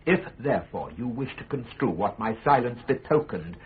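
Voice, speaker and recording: An actor with a British accent playing a principled, defiant prisoner in a radio courtroom drama, speaking in measured, lawyerly oratory. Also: male voice, mid-range voice, animated, phone-quality sound, a little hiss or hum, lightly acted. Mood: measured, firm. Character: principled accused statesman, grave, eloquent, defiant prisoner.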